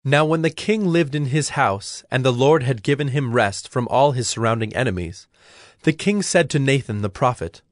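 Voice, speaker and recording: A man narrating scripture from a script in a measured, formal way. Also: medium pitch, expressive, dry recording, studio quality.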